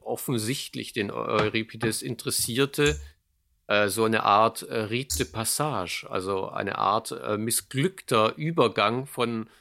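A man's voice: on the low side, slow pace, gravelly, bright, forceful tone. A man in an analytical discussion, phrasing things intellectually and hesitantly. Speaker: theatre production team member.

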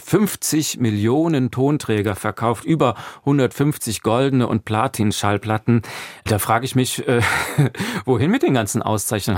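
A man's voice: fairly low pitch, dry recording. Male radio host, dry studio sound. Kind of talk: conversational radio interviewing and presenting. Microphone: close studio microphone.

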